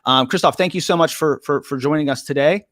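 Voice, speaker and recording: A man's voice: a little high, fairly quick, a bit bright, no echo, studio quality. An older man hosting a live-stream podcast, upbeat and chatty, joking at his own expense. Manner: upbeat, chatty, self-deprecating. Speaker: podcast host.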